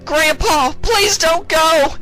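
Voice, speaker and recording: Feisty young cowgirl pony granddaughter male reader acting her, pitched way up, folksy and shouting, Western story with music. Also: slow, exaggerated voice. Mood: desperate pleading.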